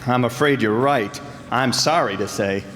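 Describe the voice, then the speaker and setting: A man's voice: medium pitch, a little slow, a bit bright, lightly acted. A man playing a wry, confident amateur detective in an old-time radio drama. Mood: focused, assured. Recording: noisy echoing hall.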